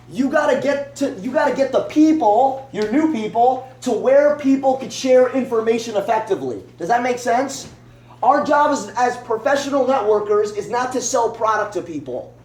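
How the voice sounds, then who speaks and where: A young man in his twenties, high-voiced, delivers a joking, repetitive motivational pitch to a crowded living room. He is picked up from across the room, so his voice sounds distant and roomy. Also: a little mellow. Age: 20s. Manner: joking, fast and repetitive pep talk. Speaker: network marketing presenter. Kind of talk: motivational talk to a home meeting. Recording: echoey room, voice from far off.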